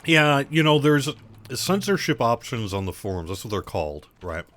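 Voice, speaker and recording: A man with a sore throat and cough, hosting a casual live stream, talking in a halting way with many fillers and restarts. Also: medium pitch, big pitch swings, fairly bright tone. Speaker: livestream host for an online game.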